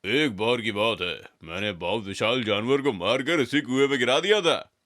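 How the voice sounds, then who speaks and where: A man voicing a proud, boastful father frog with a quick temper in a children's animated fable. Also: medium pitch, close to an everyday voice. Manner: boastful, blustering.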